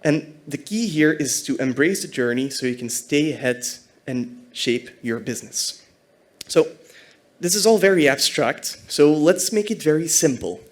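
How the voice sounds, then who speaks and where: A man from Belgium giving a conference talk as a senior technology architect, explaining fluently. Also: on the high side.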